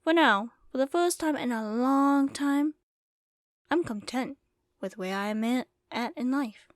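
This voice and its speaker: Woman acting a higher-voiced wry desert bartender. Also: slow pace, no echo, studio quality. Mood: reflective, content. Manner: wry, self-deprecating, casual and chatty. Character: easygoing former singer turned small-town desert bartender. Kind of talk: ASMR roleplay acting, intimate one-sided conversation.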